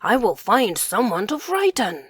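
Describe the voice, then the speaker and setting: Growly male bear, read by a woman, stubborn, low insistent, storybook, slow. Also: sing-song, breathy edge, no echo, studio quality, exaggerated voice.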